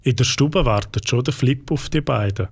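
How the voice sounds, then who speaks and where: Brief: Swiss German male storyteller, calm and even, mid pitch. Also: normal speed, husky. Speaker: narrator. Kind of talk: storybook narration.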